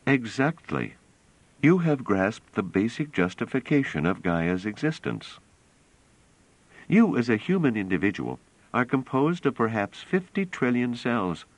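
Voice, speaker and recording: A man voicing a calm young woman who explains patiently and earnestly. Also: medium pitch, measured pace, no echo, pitched above the performer's own voice.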